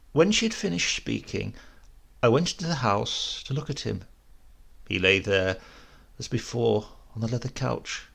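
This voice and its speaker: Creaky-voiced man reading a story. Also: medium pitch, a bit bright. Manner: measured, descriptive storytelling. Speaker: audiobook narrator. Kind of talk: literary story narration.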